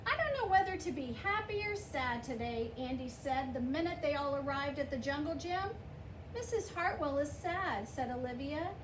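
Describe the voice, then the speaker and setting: High-voiced woman reading a storybook, roomy sound. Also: lively intonation, normal speed. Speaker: storybook reader. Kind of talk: children's picture book read-aloud. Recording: roomy, distant mic, clear background noise.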